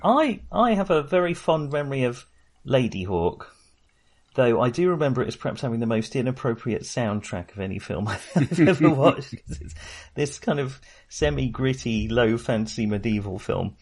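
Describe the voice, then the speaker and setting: Guy on a film podcast, bit husky, lively intonation, hesitant and self-deprecating. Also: medium pitch, moderate pace. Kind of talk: casual film discussion.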